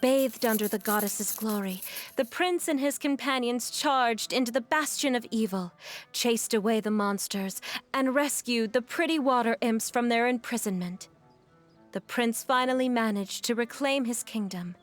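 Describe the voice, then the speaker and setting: A woman voicing a polite, gentle young female adventurer in a video game, speaking in formal, courteous phrases. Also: on the high side, normal speed, soft background music, lightly acted. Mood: gentle storytelling.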